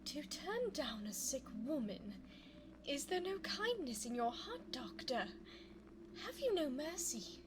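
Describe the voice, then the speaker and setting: A woman playing a scheming, seductive female vampire in an ASMR audio roleplay, speaking formally and teasingly in a breathy voice that often drops toward a whisper. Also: high-pitched, big pitch swings, noisy background, exaggerated voice. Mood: feigned frailty, pleading. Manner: formal, coy, teasing, drawn-out phrasing. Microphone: close binaural microphone.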